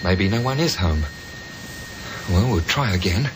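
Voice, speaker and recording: A man's voice: low-pitched, animated, a little slow, very noisy. A man playing a courteous, apologetic, grumbling errand man in a 1950s radio drama. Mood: waiting.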